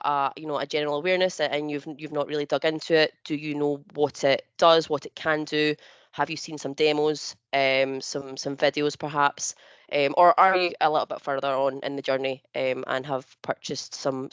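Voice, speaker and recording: Woman hosting a webinar, lots of uhs and restarts, smooth clear voice, dry dull call audio. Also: low voice, level tone, moderate pace, no room sound. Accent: not local to the northeast of England. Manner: hesitant, many fillers and restarts. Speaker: webinar host and partner manager.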